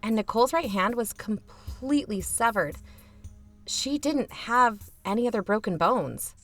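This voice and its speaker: A woman's voice: a little high, expressive, a little slow, fairly bright tone, faint music behind. A woman playing a reluctant, sardonic younger sister who hosts an investigative podcast in an audio drama, talking casually. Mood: subdued, troubled. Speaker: podcast host character. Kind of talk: conversational audio fiction acting.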